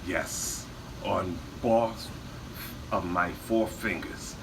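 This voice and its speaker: A man's voice: low voice, steady tone, very slow, gravelly, background noise, heavily acted. A man playing a boastful, melodramatic Bulgarian war veteran telling rambling tales in broken English. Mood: boastful, dramatic. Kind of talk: improvised comic in-character storytelling.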